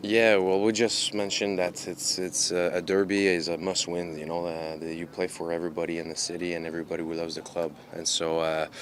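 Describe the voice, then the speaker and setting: A French-native man with a French Canadian accent, a soccer goalkeeper answering press questions in a measured, halting way with many filler pauses. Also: low-pitched, a little hiss or hum. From Montreal, Canada.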